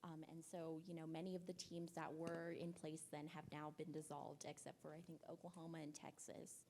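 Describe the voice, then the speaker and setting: A soft-spoken woman speaking as a panelist at a conference, hesitant and full of ums. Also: low voice, flat delivery, fairly quick, a little hiss or hum. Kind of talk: conference talk.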